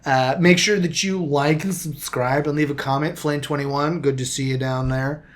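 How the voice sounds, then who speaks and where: A man's voice: fairly high pitch, steady tone, moderate pace. A man hosting a casual soccer podcast, rambling with frequent restarts and self-corrections. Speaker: podcast host. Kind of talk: casual sports podcast chat.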